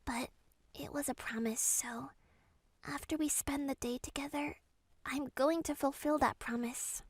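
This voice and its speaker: A woman playing an emotional young woman in a manga dub, speaking in an expressive, heartfelt way. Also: high voice, unhurried, no echo. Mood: wistful, soft. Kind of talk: manga dub character acting.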